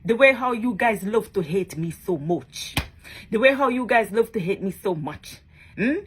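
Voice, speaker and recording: A woman's voice: medium pitch, animated, moderate pace, a little hiss or hum. A woman talking casually to her followers in a vlog, chatty and emphatic, often tagging on questions. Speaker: social media blogger.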